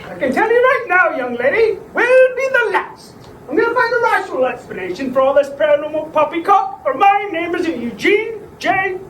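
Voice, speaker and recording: A woman's voice: very high voice, sing-song, moderate pace, fairly bright tone, heavily acted. A woman playing a pompous, scornful male sceptic investigator in a theatrical comedy, condescending and prone to exclaiming. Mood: scornful, brisk. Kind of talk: theatrical comic drama. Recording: echoey, distant, faintly noisy room recording.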